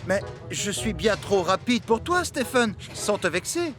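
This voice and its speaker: A man's voice: sits high, very animated, unhurried. A man voicing a proud but insecure young speedy locomotive with an earnest manner in a cartoon dub. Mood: cocky. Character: proud young speedy locomotive who has lost confidence. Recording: loud music behind the voice.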